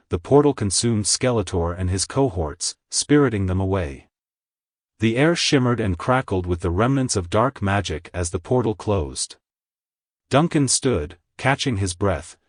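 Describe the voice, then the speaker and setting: A man reading scripted story narration in a dramatic, measured storytelling manner. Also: fairly low pitch, no echo, studio quality. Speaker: narrator, fan fiction reader.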